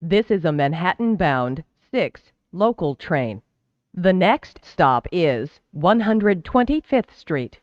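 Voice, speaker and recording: A subway announcer reads scripted service announcements slowly and formally. The pitch moves in lively contours while each word is carefully enunciated. The recording is dry and a little dull. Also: dry recording. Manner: formal, measured, clearly enunciated. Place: recorded train public-address announcement.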